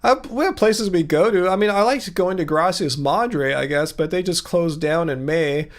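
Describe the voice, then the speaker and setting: A man with a high voice, hosting a casual livestream. He rambles along loosely, trailing off and filling gaps as he talks. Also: moderate pace. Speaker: livestream host.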